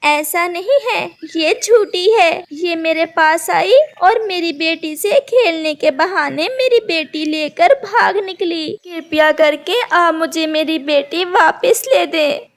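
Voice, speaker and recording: Woman voicing an earnest, high-voiced mother bird. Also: takes it slowly, hardly any pauses, exaggerated voice. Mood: pleading. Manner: pleading, earnest. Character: gentle, anxious mother bird devoted to her little daughter.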